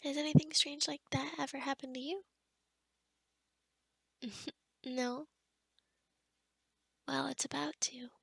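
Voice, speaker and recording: A woman playing a kind, awkward young forest witch in an ASMR roleplay, speaking softly and hesitantly, often close to a whisper. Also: sits high, steady tone, slow pace, creaky, pauses often, dry recording, heavily acted. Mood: awkward, apologetic. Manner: hesitant, rambling, softly spoken with whispery stretches. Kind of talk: ASMR roleplay addressed to the listener.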